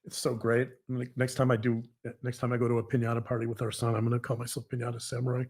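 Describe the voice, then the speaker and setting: A man talking earnestly to a co-host in a halting, repetitive, emphatic conversational monologue. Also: mid-range voice, monotone, darkish tone. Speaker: podcast co-host, psychologist.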